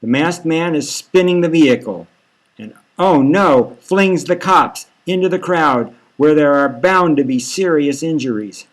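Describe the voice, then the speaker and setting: A man voicing an excitable news reporter machine giving live commentary on a chase in an audiobook. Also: fairly high pitch, unhurried. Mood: alarmed.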